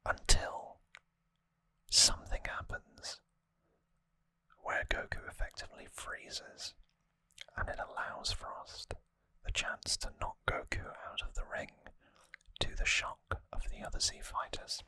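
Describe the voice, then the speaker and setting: An ASMR host whispering a trivia recap gently and hesitantly, close to the microphone. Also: slow pace, dry recording, poor audio. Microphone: close microphone, dry sound.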